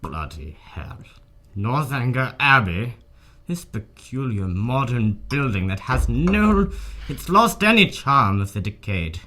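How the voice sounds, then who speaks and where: An actor playing a gloomy, hateful, inhospitable Gothic husband in a radio drama, grumbling and curt. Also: male voice, medium pitch, very animated, takes it slowly, bright, forceful tone, full character voice. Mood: brooding, scornful.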